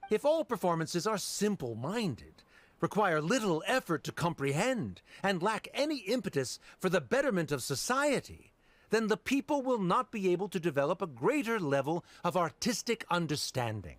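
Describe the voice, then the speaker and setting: A man's voice: high-pitched, big pitch swings, normal speed, no echo. A man voicing a haughty male academic in a video game, formal, pompous and condescending. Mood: lecturing.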